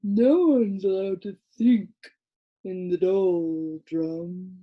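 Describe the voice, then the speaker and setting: A woman's voice: medium pitch, highs cut off. A woman voicing a crowd of tiny, drowsy creatures who drag out every word as if half asleep, in an audiobook. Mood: drowsy droning. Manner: slow, drawn-out, yawning delivery. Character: drowsy tiny color-changing creatures, droning and sleepy. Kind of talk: audiobook character voice.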